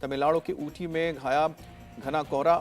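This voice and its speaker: A man with an Indian accent working as a news anchor, reading scripted, rapid-fire headlines. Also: fairly high pitch, level tone, faint music behind.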